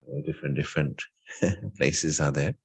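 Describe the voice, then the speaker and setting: Very deep-voiced man, informally reminiscing. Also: normal speed, breathy edge, rough recording. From England. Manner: reflective, anecdotal, lightly humorous. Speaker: spiritual speaker. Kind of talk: informal talk sharing personal story.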